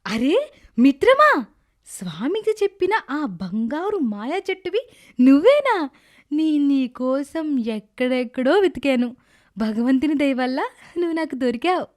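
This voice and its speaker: A woman's voice: high voice, big pitch swings, measured pace. A woman voicing an earnest, good-hearted poor village girl in a dramatised moral story. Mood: relieved.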